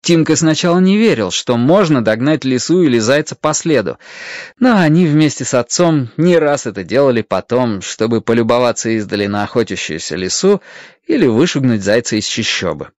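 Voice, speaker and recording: A narrator reading a radio-play story in an expressive, scripted storytelling style. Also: medium pitch, normal speed, no room sound, lo-fi sound.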